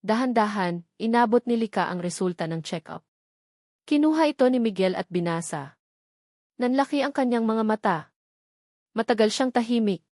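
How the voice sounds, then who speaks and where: A woman's voice: on the high side, expressive, normal speed, pauses often, darkish tone, no echo, studio quality. A woman narrating a scripted dramatic story.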